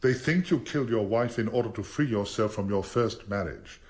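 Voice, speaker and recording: Actor as commanding, theatrical English barrister. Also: male voice, lowish voice, close to an everyday voice. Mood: solemn pressing. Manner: commanding, deliberate, rhetorical. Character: formidable, theatrical veteran English defence barrister. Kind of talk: radio drama acting.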